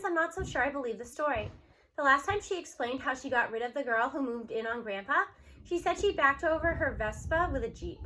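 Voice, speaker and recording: A woman with a high, bright voice reads a children's novel aloud as the storyteller. Her delivery is expressive and clearly shaped for young listeners, with a forward, crisp edge to the tone. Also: poor audio. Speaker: audiobook reader. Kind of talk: expressive read-aloud of a children's novel in a student's first-person voice.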